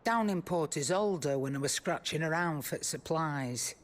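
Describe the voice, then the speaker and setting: An actress playing a brisk, shrewd female trader in a fantasy video game, informal and matter-of-fact. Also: low voice, animated. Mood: brisk, knowing. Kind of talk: dramatic video game acting.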